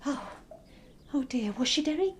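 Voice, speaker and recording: Timid anxious housewife, radio play, highish voice, keeps trying to please. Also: female voice, expressive, normal speed. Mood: uneasy, gentle. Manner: timid, hesitant, apologetic. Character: meek, anxious, eager-to-please wife and mother. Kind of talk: radio drama acting.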